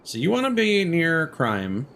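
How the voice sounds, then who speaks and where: A man's voice: fairly high pitch, huge pitch swings, a little slow, a bit bright, slight background noise. A man giving casual, joking and sweary gameplay commentary as a streamer. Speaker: game streamer. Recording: music behind the voice.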